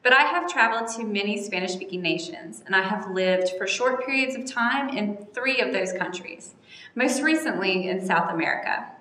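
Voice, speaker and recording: A woman teacher from North Carolina lays out her class for parents in a measured, orderly way. Her voice is bright and forward. The recording carries a long, ringing reverb, as if made in a large empty room. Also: medium pitch, animated. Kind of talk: recorded welcome message to parents.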